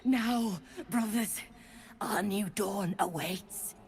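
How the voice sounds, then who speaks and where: A woman's voice: mid-range voice, very slow, darkish tone, a little hiss or hum. A woman playing a zealous giant spider in a fantasy game, preaching grandly and seductively to her kin. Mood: fervent.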